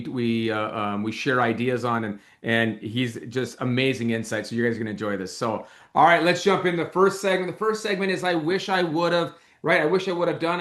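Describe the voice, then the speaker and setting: A man's voice: medium pitch, fairly bright tone. A man hosting a business podcast, welcoming his guest with enthusiasm and a lot of stumbling restarts. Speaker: podcast host.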